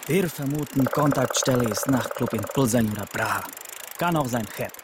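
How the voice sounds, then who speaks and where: An actor playing a laconic Czech village police chief nearing retirement, speaking halting, broken German with a Czech accent and searching for words. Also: male voice, medium pitch, background noise, natural voice. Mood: resigned, matter-of-fact. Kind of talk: naturalistic radio drama acting.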